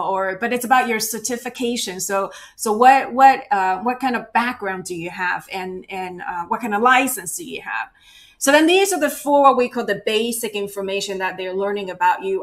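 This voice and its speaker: A woman giving a virtual workshop as a cultural awareness trainer, talking conversationally and checking in with the audience often. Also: medium pitch. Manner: conversational, checks in with frequent "right?" and "you know". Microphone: video call.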